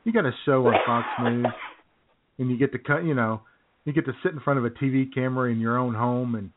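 A man's voice: medium pitch, fairly even intonation, fast talker, slight background noise. A man co-hosting a radio show with casual, wry joking banter and frequent chuckles, heard over a phone-like connection. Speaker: co-host of a political comedy radio show. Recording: tinny phone-line internet radio audio.

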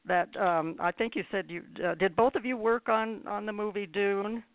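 Halting woman radio host, phone-like sound. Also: medium pitch, fairly even intonation, dry recording. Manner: halting, hesitant delivery. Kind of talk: hosting a radio interview. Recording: tinny phone-like line.

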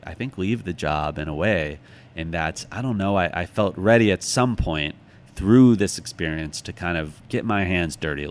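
A man's voice: low-pitched, slight background noise. A middle-aged man giving reflective, conversational answers as an interview guest, thoughtful and self-deprecating. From United States, Chicago.

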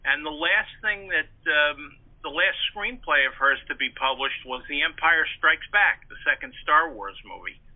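A man's voice: a little high, lively intonation, normal speed, hardly any pauses. A man in his sixties talking conversationally as an interview guest, chatty, articulate and discursive as he reminisces. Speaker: interview guest, pulp fiction historian and author. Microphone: telephone. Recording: narrow, tinny phone-like line.

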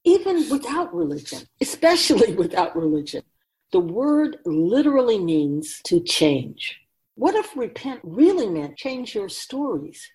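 A woman's voice: medium pitch, sing-song, measured pace, mellow, poor audio. A woman playing a wise otherworldly keeper of souls in a radio drama, teaching humanity in an emphatic, oracular manner with rhetorical questions. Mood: amused, instructive. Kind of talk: radio drama dialogue, lecturing.

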